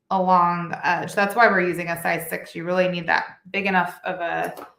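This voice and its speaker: A woman's voice: lowish voice, vocal fry, a bit bright, roomy sound. A woman from Michigan teaching a live beading tutorial, a bit congested and nasal, chatty, correcting herself and laughing lightly. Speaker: beading instructor.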